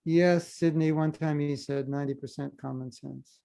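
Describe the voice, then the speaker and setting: A man teaching over a video call, his voice low-toned and dark with a gravelly edge. He talks in an easy, joking way, teasing his listeners as he answers questions. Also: fairly even intonation, normal speed. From United States. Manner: humorous, teasing, easygoing. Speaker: spiritual teacher.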